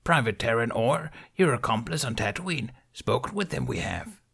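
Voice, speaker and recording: A man voicing an ancient, small alien master in an audiobook, with a croaky, strained voice and grave, inverted phrasing. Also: medium pitch, lively intonation, a bit bright, pitched above the performer's own voice, full character voice. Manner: inverted word order, grave and deliberate. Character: ancient small green alien Jedi master, stern, inquisitive.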